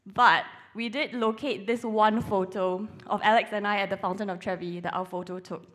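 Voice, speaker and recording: A woman's voice: mid-range voice, steady tone, normal speed, a bit bright. A woman in her twenties from Singapore, giving a church talk with playful, self-mocking humor. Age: 20s. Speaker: church speaker.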